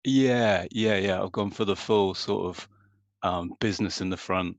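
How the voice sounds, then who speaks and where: A male comedian chatting in a dry, deadpan way. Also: low-pitched, unhurried, no echo. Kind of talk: casual chat. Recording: dull, dry video-call audio.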